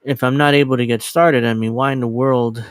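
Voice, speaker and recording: A man's voice: medium pitch, steady tone, brisk, no room sound, clean studio sound. A man in his thirties chatting casually as a livestream host, rambling and scattered, self-deprecating and casually profane. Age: 30s. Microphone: dedicated microphone.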